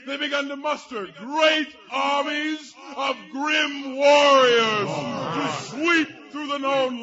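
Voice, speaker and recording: A man playing a grandiose storyteller, narrating a dark barbarian legend in a theatrical, drawn-out way. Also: very high-pitched, dull audio, heavily acted. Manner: theatrical, bombastic, drawn-out delivery. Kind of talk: dramatic fantasy storytelling narration.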